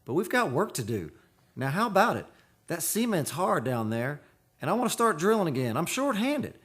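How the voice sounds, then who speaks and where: A man acts as a practical, impatient boss of a drilling crew in a radio play. His voice is a little high and swings widely in pitch. He talks in brusque, slangy bursts, like someone used to giving orders. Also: very animated, normal speed, fairly bright tone. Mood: reasoning. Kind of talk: radio drama acting.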